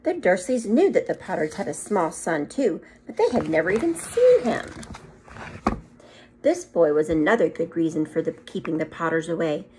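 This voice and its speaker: A woman's voice: on the low side, normal speed, background noise. A middle-aged woman reading a children's story aloud like a teacher, expressively acting it out and adding short asides. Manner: animated, acts out the story, adds short asides. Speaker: second grade teacher reading aloud to pupils. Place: small room at home, indoors. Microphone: phone or tablet propped at arm's length.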